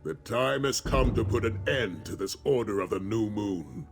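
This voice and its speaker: A man's voice: lowish voice, expressive, normal speed, faint music behind. A man playing a stern, composed warrior captain in a video game, solemn and commanding. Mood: stern, urgent. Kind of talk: scripted video game character dialogue.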